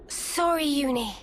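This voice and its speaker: A young game heroine, high voice, earnest, soft. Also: female voice, slight background noise, full character voice.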